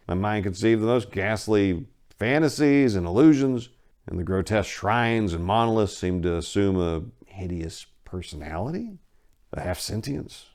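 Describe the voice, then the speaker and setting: A man giving a theatrical, brooding dramatic reading of a first-person horror story, phrasing it deliberately. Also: deep voice, creaky, a little mellow. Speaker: narrator.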